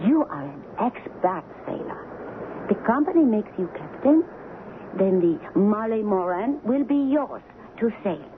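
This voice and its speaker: An actress playing a grave, secretive young woman in a 1970s radio drama, with measured, formal phrasing. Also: mid-range voice. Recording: noisy, phone-like old radio broadcast.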